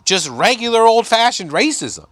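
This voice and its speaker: A man's voice: very high voice, normal speed, no room sound, clean studio sound. A man in his thirties from Michigan, a comedian guest chatting in a basement podcast, profane and sarcastic. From Michigan, USA. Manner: animated, profane, sarcastic riffing. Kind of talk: casual podcast chat.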